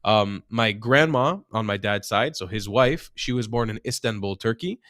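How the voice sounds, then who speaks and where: A man's voice: fairly low pitch, moderate pace, fairly bright tone, dry recording, clean studio sound. Passionate male journalist arguing his case. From Israel. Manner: passionate, argumentative, with rhetorical questions. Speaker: journalist guest. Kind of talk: casual interview chat.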